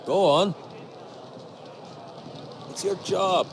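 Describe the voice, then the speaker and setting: A resentful young squire from a fantasy game, sardonic and grumbling. His voice is on the high side, with clear background noise around it. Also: very slow, exaggerated voice. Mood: bossy, cajoling. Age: young adult. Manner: sarcastic, grumbling. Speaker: video game voice actor. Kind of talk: naturalistic fantasy game acting.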